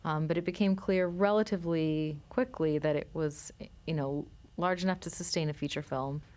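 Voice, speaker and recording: A woman filmmaker, a guest in a studio interview, explaining her work in a measured way with frequent pauses and ums. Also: low-pitched, steady tone, darkish tone.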